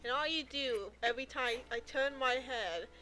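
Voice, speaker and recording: A woman's voice: high-pitched, normal speed, low-quality recording. A woman chatting casually as she streams a video game. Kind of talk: casual chat while playing a video game. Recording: game music in the background.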